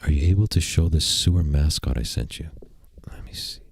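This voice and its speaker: A man's voice: rumbling low, brisk, creaky, slightly rough, in a whisper. A man hosting a casual livestream at his computer, rambling and joking in a crude, provocative way. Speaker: streamer and channel host. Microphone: desk mic at a computer.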